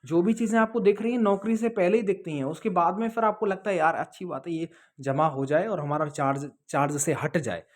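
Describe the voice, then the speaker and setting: A man's voice: high-pitched, brisk, hardly any pauses. A man answering viewers' questions in a casual, advising way, often checking that the listener follows. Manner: conversational, advising, often checks with "okay?" and "understood?". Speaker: host answering viewers' questions about police jobs. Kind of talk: casual explanatory Q&A to camera.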